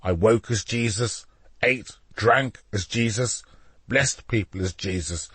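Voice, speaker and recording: Throaty madman villain for an audiobook, slow and menacing, put on by the male narrator. Husky, dry sound. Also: fairly low pitch, fairly bright tone, dry recording, heavily acted.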